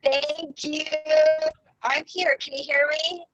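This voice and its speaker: A woman's voice: high-pitched, steady tone, takes it slowly, sharp tone, dull audio, faint music behind. A woman turning forty, joining a video call while driving in her car, emotional and grateful. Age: 40s. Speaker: retreat participant on a video call.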